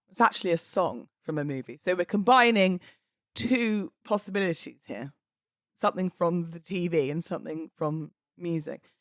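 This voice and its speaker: A woman's voice: lowish voice, dry recording, studio quality. A British woman in her thirties chatting casually and expressively as a solo podcast host, in an informal, slangy way. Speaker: podcast host and wedding celebrant.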